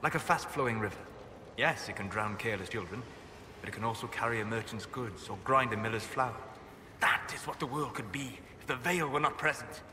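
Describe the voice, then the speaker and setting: An actor playing a calm, scholarly elven mage in a fantasy game, speaking in a measured, formal, lecturing manner. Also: male voice, medium pitch, slight background noise, close to an everyday voice. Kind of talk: scripted fantasy game dialogue.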